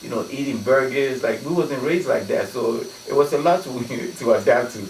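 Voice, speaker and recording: A man's voice: medium pitch, normal speed, creaky. A man from Ghana, a guest in a radio studio, telling stories and using many "you know" fillers. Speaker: guest, community activist. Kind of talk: radio interview storytelling. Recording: roomy, distant mic with faint noise.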